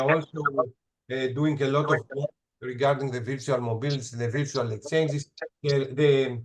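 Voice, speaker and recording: Hesitant Greek-accented man moderating. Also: medium pitch. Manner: hesitant, halting with fillers. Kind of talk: online panel moderating. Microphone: video call.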